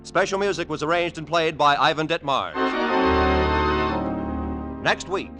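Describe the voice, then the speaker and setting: A man's voice: a little high, measured pace. A man with an American accent reading scripted credits and promos as a 1940s radio announcer. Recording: old radio broadcast, music behind.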